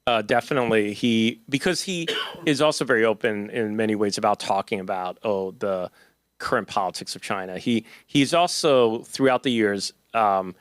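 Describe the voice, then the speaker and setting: A man's voice: fairly low pitch, moderate pace, smooth, dry recording. A man, an author, answering audience questions at a book talk in a loose, conversational way with many fillers and restarts. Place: event hall.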